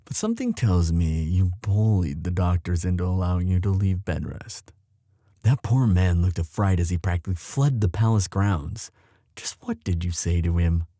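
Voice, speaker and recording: Guy acting as a young prince in love, slow n husky, tender boyfriend asmr roleplay, dry close sound. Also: sits low, warm tone, dry recording. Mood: calm, affectionate. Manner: halting, emotive, sighing, intimate delivery. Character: lovestruck young prince, anguished, tender and protective.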